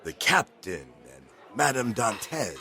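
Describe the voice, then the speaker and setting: An actor playing a jovial, tipsy, wine-loving drinking companion in an audio drama, boisterous and drawling. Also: male voice, medium pitch, very animated, slow, sharp tone, heavily acted. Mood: amused grumbling.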